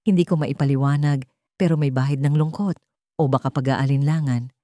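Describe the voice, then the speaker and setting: A woman's voice: a bass voice, fairly even intonation, a little fast, dark tone, no room sound, studio quality. A woman narrating a scripted first-person story as a storyteller.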